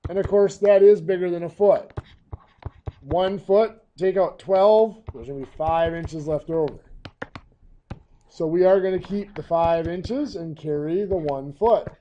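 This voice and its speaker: A hoarse, coughing man teaching a math lesson, walking through each step patiently. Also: sits high, a little slow, warm tone, muffled recording, a little hiss or hum.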